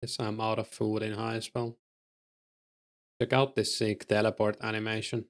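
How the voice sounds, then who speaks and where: A man's voice: on the low side, little pitch movement, normal speed. A man with a Finnish accent chatting casually with his stream chat while he plays, answering viewers' questions with an easygoing, filler-laden delivery. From Finland. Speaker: game streamer.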